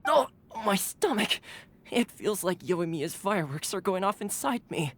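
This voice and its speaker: A man's voice: high voice, very animated, unhurried, exaggerated voice. A man playing an easygoing, friendly young retainer in an anime-style video game, courteous and casual. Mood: queasy. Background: faint music.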